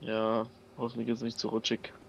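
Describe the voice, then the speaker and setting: A man's voice: mid-range voice, monotone, normal speed, creaky, breathy edge, dull audio. A man joining a gaming session, teasing his friends with short jokes. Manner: teasing, joking interjections. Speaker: co-player. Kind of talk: casual gaming chat.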